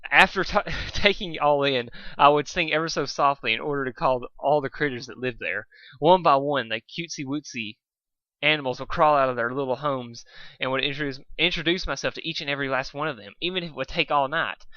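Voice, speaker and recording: A man voicing a timid, gentle female pony who rambles apologetically, as in a cartoon fan story. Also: mid-range voice, a little fast, no room sound, lo-fi sound, lightly acted. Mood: dreamy.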